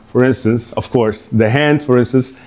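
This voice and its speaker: A man's voice: medium pitch, steady tone, moderate pace, a little mellow. A middle-aged man from Curaçao giving an informal artist talk to an audience, rambling and hesitant, with many fillers and restarts.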